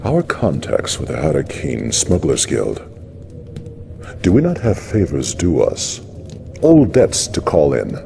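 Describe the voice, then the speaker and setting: An actor playing a resolute, commanding noble war leader with a stern, stately, oratorical manner in a science fiction game cutscene. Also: male voice, extremely low pitch, wildly swooping pitch, takes it slowly, gravelly, background noise, full character voice. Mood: pondering. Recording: echoing room, music underneath.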